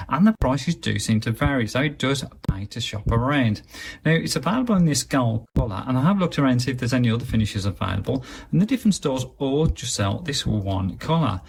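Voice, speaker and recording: A man's voice: mid-range voice, animated, a little fast, gravelly, slightly rough. A man giving a casual, chatty and matter-of-fact hands-on review of a gadget. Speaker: product reviewer.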